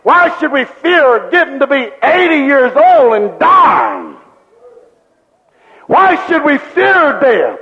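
High-voiced fervent male preacher, echoing hall. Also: huge pitch swings, takes it slowly, sharp tone. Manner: fervent, repetitive preaching with rhetorical questions. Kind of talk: sermon. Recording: dull lo-fi recording with long hall reverb.

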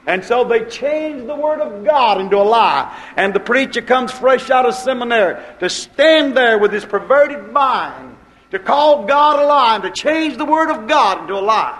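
A male preacher delivers a sermon in a notably high voice. His manner is dramatic and colloquial, mixing plain folksy talk with old-fashioned scriptural wording. He voices short bits of quoted dialogue as he goes. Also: fairly bright tone, poor audio.

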